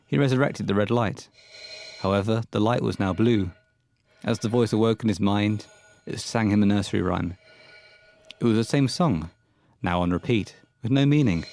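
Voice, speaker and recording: A man narrating a scripted audio horror play in a measured, literary storytelling manner. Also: on the low side, creaky, darkish tone.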